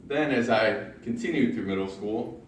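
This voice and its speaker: Deep-voiced man in an echoey room. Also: normal speed, far from the mic, slight background noise. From Ohio, USA. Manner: self-deprecating humor, storytelling with punchlines. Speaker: speaker at a public speaking club. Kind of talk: prepared personal speech. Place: large meeting room in a library. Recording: very echoey room, recorded from afar.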